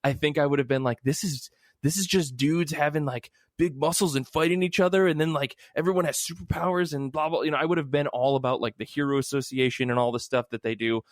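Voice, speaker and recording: A man's voice: a little high, steady tone, fast, no room sound, clean studio sound. A man in his thirties hosting a podcast and chatting casually. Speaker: podcast host. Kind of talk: casual comics podcast chat.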